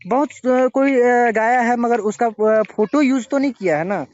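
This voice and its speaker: A man arguing haltingly as he questions someone in a confrontational phone interview, often cutting in to press his point. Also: very high-pitched, a little mellow. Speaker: interviewer questioning a singer over a phone call. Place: phone call recording. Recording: dull lo-fi recorded phone call.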